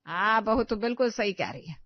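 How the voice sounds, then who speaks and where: A woman's voice: medium pitch, animated, brisk, no echo, muffled recording, close to an everyday voice. A woman voicing a bossy, middle-aged village mother-in-law who scolds and gives instructions. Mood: scolding.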